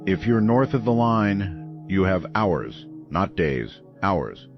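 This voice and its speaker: Very deep, weary radio host played by an actor. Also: male voice, big pitch swings, muffled recording, heavily acted. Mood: grave warning. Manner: grave, deliberate, sombre. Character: grim, weary late-night talk radio host. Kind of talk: audio horror drama, radio broadcast. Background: music.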